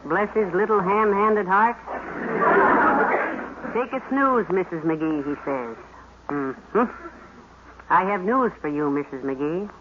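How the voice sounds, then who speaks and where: An actress playing a patient, wisecracking wife in a 1950s radio sitcom, with dry, deadpan quips. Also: female voice, lowish voice, slow pace, pauses often. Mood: wry. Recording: muffled hissy 1950s radio broadcast.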